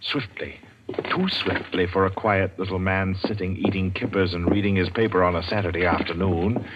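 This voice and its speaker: A man's voice: deep voice, steady tone, normal speed, noisy background. A man announcing and narrating a 1940s radio drama in a formal, theatrical way. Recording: dull lo-fi old radio recording with music.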